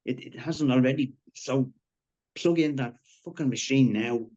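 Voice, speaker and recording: A male actor plays a wounded man in the middle of a crisis in a screenplay drama. He pushes his words out urgently and haltingly, with bitter sarcasm. The audio is dull and low in fidelity, like an online call. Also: warm tone, close to an everyday voice. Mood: sarcastic, strained. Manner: halting, urgent, foul-mouthed. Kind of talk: dramatic live screenplay table read.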